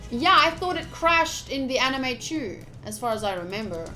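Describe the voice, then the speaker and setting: A woman with a high voice plays through a visual novel on a live stream. She reads the text aloud with some drama, then slips into chatty asides to her viewers. Soft music plays underneath. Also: big pitch swings, normal speed, poor audio. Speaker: game streamer. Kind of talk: live visual novel playthrough, reading aloud and commenting to chat.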